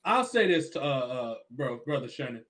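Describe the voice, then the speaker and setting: A man's voice: mid-range voice, big pitch swings, normal speed, a bit bright. A man from Chicago hosting a casual livestream chat, talking bluntly and emphatically with a lot of profanity.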